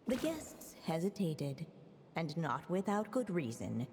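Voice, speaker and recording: Casting: motherly game storyteller witch, lowish mellow voice, unhurried theatrical narration, reverberant. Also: very animated, heavily acted. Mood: inviting, deliberate. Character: wise, motherly storybook witch telling a fairy tale. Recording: long reverb, faint noise.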